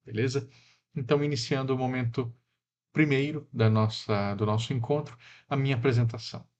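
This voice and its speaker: A man from Mato Grosso do Sul lectures at a relaxed, reflective pace, pausing on fillers and checking in with tag questions. His voice sits at an ordinary middle pitch. The recording is a little dull and lo-fi. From Mato Grosso do Sul, Brazil.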